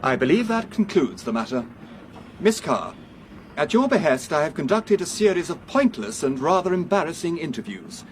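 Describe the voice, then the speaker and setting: An actor playing a stiff, pompous, coldly exasperated professor in a radio drama, speaking very formally. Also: male voice, on the high side, big pitch swings, moderate pace, a little hiss or hum.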